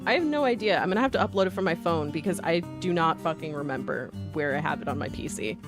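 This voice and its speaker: Animated, goofy woman livestreamer over music. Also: low-pitched, quick pace. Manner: playful, goofy, slangy with silly exclamations. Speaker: livestreamer chatting with viewers. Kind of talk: casual rambling livestream chat. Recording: music playing behind the voice.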